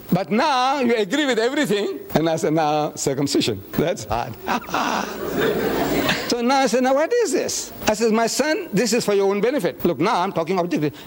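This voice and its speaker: Older man, high voice, a talkative community speaker who mimics the people in his stories. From South Africa. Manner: chatty storyteller acting out dialogue, chuckling. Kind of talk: anecdotal talk to a group.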